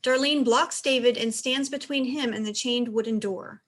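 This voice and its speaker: Woman narrator reading out stage directions from a script, voice a bit high. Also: normal speed.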